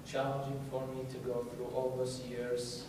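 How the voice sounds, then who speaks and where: Young man from Iraq, Arabic first language, halting talk to a crowd, heard from a distance in a booming hall with noise behind. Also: monotone. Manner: hesitant, many restarts, laughs easily. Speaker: conference speaker. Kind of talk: casual informal talk to an audience. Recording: echoey hall from far off, noisy.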